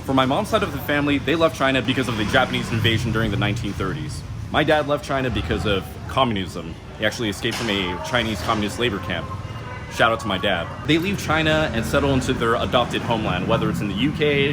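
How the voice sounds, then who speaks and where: A man's voice: mid-range voice, fast talker. A man vlogging casually outdoors on a busy city street, chatty and teasing. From United States. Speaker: travel vlogger. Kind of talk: casual walking vlog to camera. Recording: loud outdoor street noise. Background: loud traffic and street noise.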